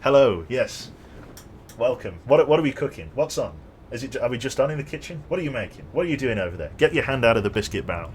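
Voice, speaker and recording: A man's voice: medium pitch, big pitch swings, quick pace, slight background noise. Chatty man streaming a game. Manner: rambling, chatty, reads chat aloud and jokes. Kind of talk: casual live-stream chat while gaming.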